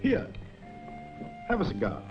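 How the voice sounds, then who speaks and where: An actor playing a suave, formal, slyly sinister doctor in a 1940s film serial. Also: male voice, on the high side, huge pitch swings, unhurried, music in the background. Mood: smooth, soothing. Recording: dull 1940s film soundtrack.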